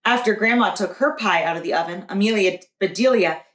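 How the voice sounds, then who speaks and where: A woman's voice: medium pitch, fairly quick. A woman, a preschool teacher, reading a children's storybook aloud. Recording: echoey room.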